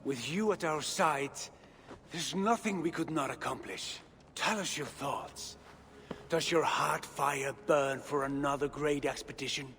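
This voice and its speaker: An actor playing an eager, affectionate Viking warrior in a game cutscene, with rousing delivery. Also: male voice, a little high, a little slow, a bit bright. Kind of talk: dramatic video game cutscene acting. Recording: distant reverberant hall, faint noise.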